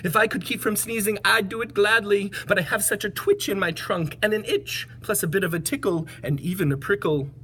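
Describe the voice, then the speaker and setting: A woman's voice: medium pitch, fairly quick, hardly any pauses, fairly bright tone, a little hiss or hum, voice pushed up, lightly acted. A woman voicing a big, apologetic and easily frightened male elephant in a rhyming storybook read-aloud. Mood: sad, regretful. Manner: rhyming, fretful, dramatic. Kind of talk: animated picture-book read-aloud.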